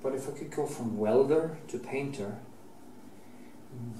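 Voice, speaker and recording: Norwegian painter, middle-aged, unhurried rambling talk with restarts, off-mic in a roomy space with faint hiss. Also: male voice, medium pitch, warm tone, distant mic. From Norway. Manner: slow, rambling, with many restarts and fillers. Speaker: painter teaching oil painting. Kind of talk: casual chat while painting. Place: indoor painting studio.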